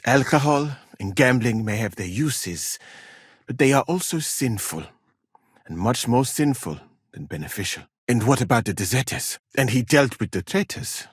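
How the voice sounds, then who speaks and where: Learned, husky-voiced scholar-physician. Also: male voice, mid-range voice, lively intonation, no room sound, studio quality. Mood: composed, wry. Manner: measured, formal, eloquent phrasing. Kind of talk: video game voice acting.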